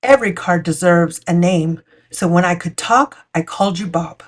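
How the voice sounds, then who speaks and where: A woman's voice: lowish voice, normal speed. Woman reading a children's storybook aloud. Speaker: children's picture book read-aloud host. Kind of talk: storytime read-aloud narration.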